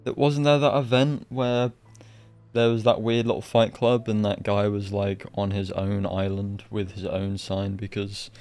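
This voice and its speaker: Lowish-voiced, sweary man streaming games. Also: level tone, unhurried, darkish tone, clean tone. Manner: sweary, blunt, ranting, exasperated. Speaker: game streamer. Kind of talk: casual livestream game commentary. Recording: game music behind voice.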